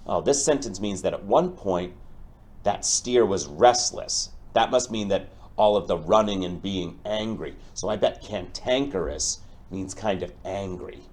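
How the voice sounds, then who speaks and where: Guy teacher doing a storybook read aloud, lowish voice, really slow and deliberate, explains stuff to the kids. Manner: deliberate, explanatory, addressing pupils directly.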